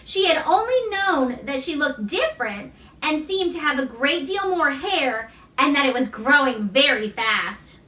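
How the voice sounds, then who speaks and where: A woman reading a children's novel aloud as expressive storybook narration. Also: high voice. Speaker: storybook reader. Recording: roomy echo, distant microphone.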